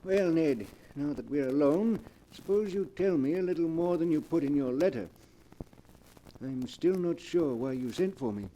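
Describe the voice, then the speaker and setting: An actor playing a smooth-talking swindler professor, courteous and insinuating, in 1940s radio drama style. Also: male voice, on the high side, lively intonation, normal speed, noisy background, close to an everyday voice. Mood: sly, probing.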